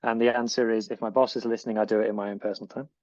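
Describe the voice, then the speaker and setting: Northwest english guy, lowish voice, eager and rambly, dry muffled sound. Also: flat delivery, rapid-fire, a little mellow, dry recording. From northwest England. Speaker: podcast co-presenter. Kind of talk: casual podcast chat.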